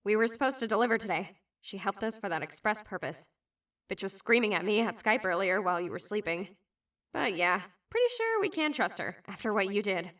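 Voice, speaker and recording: A woman's voice: mid-range voice, expressive, brisk, fairly bright tone, recorded from a distance, close to an everyday voice. A woman voicing a brash young woman who is sarcastic, blunt and teasing. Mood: annoyed. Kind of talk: fan animation voice acting.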